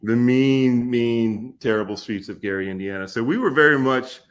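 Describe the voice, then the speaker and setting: A man in his forties from Kentucky, a podcast guest telling stories in a relaxed way, laughing often and pausing with fillers. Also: mid-range voice, clear, resonant tone. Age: 40s. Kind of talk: casual storytelling conversation.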